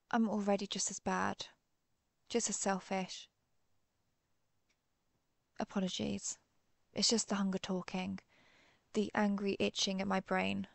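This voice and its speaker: Casting: actress for protective female vampire hunter, herself a vampire; intimate roleplay audio, confiding and measured, mid-pitched with creaky edges. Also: no room sound. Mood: hungry, self-reproachful. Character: protective, guilt-ridden female vampire who hunts vampires. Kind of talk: intimate scripted audio roleplay addressed to the listener.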